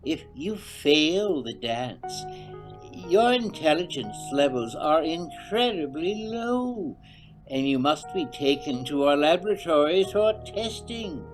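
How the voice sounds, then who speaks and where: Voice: medium pitch, animated, slow pace, over music, rough recording. A performer voicing an eccentric, menacing male government agent with a theatrical, swooping delivery in an audio drama. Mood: sly, menacing.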